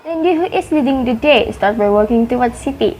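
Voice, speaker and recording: A woman's voice: on the high side, animated, a little fast, a little mellow, a faint crowd, slight background noise, rough recording. A woman reading scripted narration for a student radio drama.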